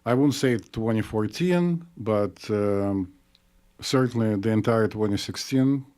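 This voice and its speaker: A man with a Russian accent, co-hosting a psychology podcast in a measured, explanatory way with frequent pauses. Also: on the low side, darkish tone. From Soviet Union. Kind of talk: casual podcast discussion.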